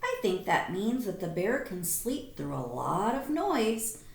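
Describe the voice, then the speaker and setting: Slow, animated woman reading to children. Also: medium pitch, creaky. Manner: expressive, deliberate storytelling. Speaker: teacher reading aloud. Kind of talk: children's picture-book read-aloud with explanations. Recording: roomy echo.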